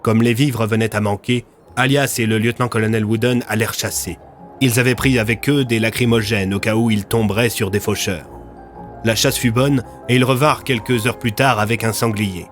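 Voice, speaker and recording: Male storyteller over prominent music. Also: on the low side. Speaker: narrator of an audio fiction series. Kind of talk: scripted dramatic narration.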